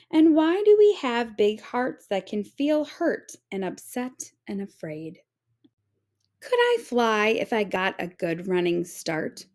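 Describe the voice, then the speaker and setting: A woman reading a children's picture book aloud in an expressive, carefully phrased storytelling way. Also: on the high side.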